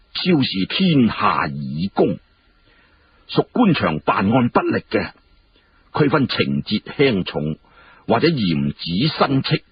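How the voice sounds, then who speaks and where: A man's voice: fairly low pitch, heavily acted. A man voicing an aged, tactful court minister who weighs each phrase in Cantonese storytelling. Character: aged senior minister, measured, tactful, deferential to the emperor. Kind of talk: Cantonese historical storytelling dialogue. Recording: muffled, clearly noisy radio-like recording.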